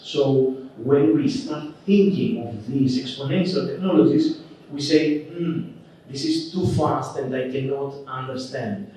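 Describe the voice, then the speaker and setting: A man with a Greek accent giving a relaxed, conversational conference keynote, dotted with "okay" and "uh". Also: mid-range voice. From Greece. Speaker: keynote speaker. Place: conference hall. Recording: roomy, distant, dull hall recording.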